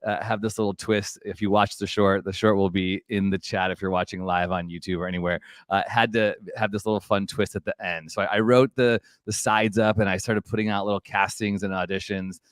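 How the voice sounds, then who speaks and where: Casting: male chat show host, lowish voice, dry close sound, enthusiastic and informal, tends to interrupt himself. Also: flat delivery, brisk, few pauses, no echo.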